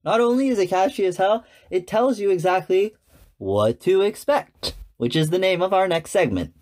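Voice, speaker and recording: A man's voice: sits high, expressive, moderate pace, darkish tone. Earnest, enthusiastic male podcast host. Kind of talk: solo podcast monologue, conversational.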